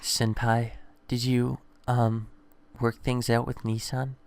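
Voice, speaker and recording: A man voicing a meek, apologetic teenage girl from a visual novel, hesitant and soft-spoken. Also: mid-range voice, little pitch movement, slow pace, vocal fry, smooth. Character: meek, apologetic teenage girl who hides her hurt.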